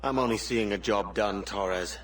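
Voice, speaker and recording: An actor playing a world-weary, earnest pirate captain in a cinematic video game. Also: male voice, fairly low pitch, normal speed, fairly bright tone. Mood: resolute.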